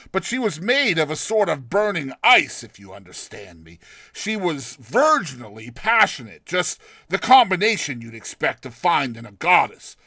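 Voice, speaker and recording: A man acts out a lovestruck young man from a classic storybook, effusive and impatient. The voice is fairly high and swings widely in pitch. Words come with bright, dramatic emphasis. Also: gravelly, slightly rough, no echo, heavily acted. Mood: rapturous, insistent. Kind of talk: dramatized short story reading.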